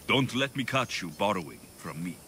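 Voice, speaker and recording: An actor playing a tough, blunt hero with a dry, commanding manner in a video game cutscene. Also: male voice, lowish voice, very animated, normal speed, sharp tone, slight background noise. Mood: firm. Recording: music under the voice.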